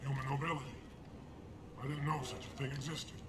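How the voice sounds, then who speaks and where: A man's voice: mid-range voice, level tone, fairly quick, heavy background noise, lightly acted. A man voicing a menacing, suspicious alien thug in a video game, speaking in a threatening sneer. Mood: wary, scornful. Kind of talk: video game villain acting. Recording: loud music over the voice.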